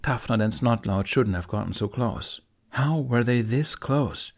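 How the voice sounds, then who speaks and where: A man's voice: mid-range voice, a little slow, vocal fry. Man dramatically narrating a fantasy audiobook.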